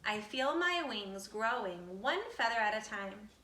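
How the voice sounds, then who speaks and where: A woman reading a children's picture book aloud expressively, with deliberate, dramatic phrasing. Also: medium pitch, expressive, pushed and bright. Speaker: storybook reader. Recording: roomy echo, distant from the mic.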